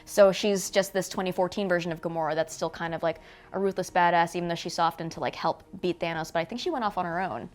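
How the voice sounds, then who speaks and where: A woman's voice: lowish voice, fast talker. A woman chatting casually as a film review host. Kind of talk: casual chat.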